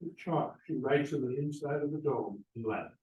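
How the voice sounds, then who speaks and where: A man's voice: mid-range voice, normal speed, a little mellow. Man narrating, echoey distant room. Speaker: stage-directions reader. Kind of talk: scripted narration. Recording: roomy, echoey, distant mic.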